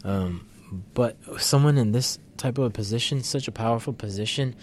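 A man's voice: medium pitch, normal speed, a little hiss or hum. A man co-hosting a casual political podcast, talking thoughtfully with lots of fillers and restarts. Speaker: podcast co-host.

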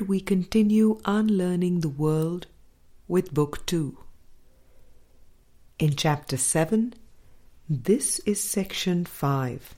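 A low-voiced woman reads a spiritual audiobook at an unhurried pace. Her phrasing is deliberate and measured, with frequent pauses between short phrases. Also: warm tone. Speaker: audiobook reader. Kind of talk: scripted spiritual audiobook narration.

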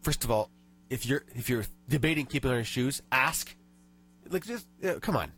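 A man's voice: mid-range voice, lively intonation, normal speed, a bit bright, a little hiss or hum. Man with a stuffy cold hosting radio. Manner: chatty, ranting, reads tips aloud. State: nasal from a cold. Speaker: radio show host. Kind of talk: casual radio chat. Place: radio studio.

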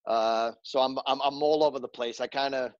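A man's voice: medium pitch, fairly even intonation, moderate pace, no echo, muffled recording. A man with a New York accent, a guest on a podcast, talking in an easygoing way and often repeating words as he starts a sentence. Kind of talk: casual interview.

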